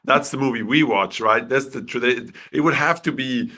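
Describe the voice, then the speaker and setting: A man from Switzerland, a film director answering interview questions in a halting way with many restarts, clearing his throat as his voice goes. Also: mid-range voice, pushed and bright.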